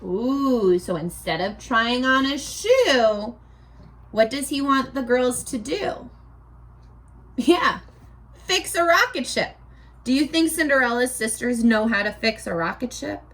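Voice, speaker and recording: A high-voiced woman reads a storybook to young children as their teacher. Her delivery is unhurried and very animated, with sing-song phrasing and questions that invite the listeners to answer.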